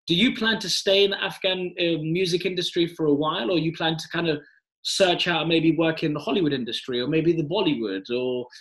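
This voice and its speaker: A man's voice: fairly high pitch, brisk, fairly bright tone. A man interviewing a singer, asking long rambling questions padded with filler phrases. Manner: rambling questions, frequent et cetera.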